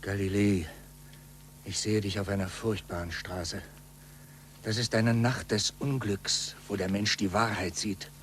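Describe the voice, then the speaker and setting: An actor playing a grave, worried friend in a 1940s radio play, speaking in solemn declamation. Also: male voice, fairly low pitch, steady tone, a little slow, close to an everyday voice. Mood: grave, foreboding. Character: Galileo's worried male friend, grave and foreboding. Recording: faintly noisy, humming 1940s radio recording.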